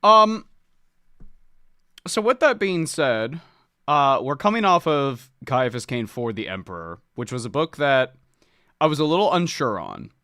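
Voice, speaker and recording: A man hosting a book club podcast in casual chat, a little sniffly, talking in an animated, expressive way. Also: medium pitch, unhurried, clear, resonant tone, dry recording, clean studio sound. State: slightly sniffly.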